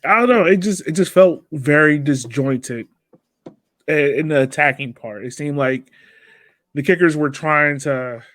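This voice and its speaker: Casual man, higher-pitched voice, dry close sound. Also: unhurried, a bit bright, no echo. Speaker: host of a soccer fan podcast. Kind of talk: casual post-game discussion.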